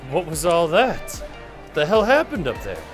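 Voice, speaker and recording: An earnest, determined young male team captain, acted by a man in a visual novel. He speaks slowly and expressively, with music clearly audible behind him. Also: on the high side, huge pitch swings. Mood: concerned. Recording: voice over background music.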